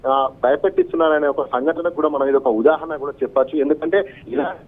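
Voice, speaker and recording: Over a thin, narrow phone line, a male field correspondent reports live. His voice is slightly high, and his unscripted delivery is wordy, halting and repetitive.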